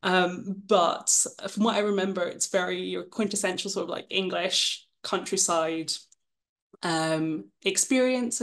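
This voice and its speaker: A woman in her twenties, from Scotland, casually presenting her path as an illustrator, chatty and self-deprecating, with frequent ums. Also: lowish voice. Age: 20s. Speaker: guest illustrator.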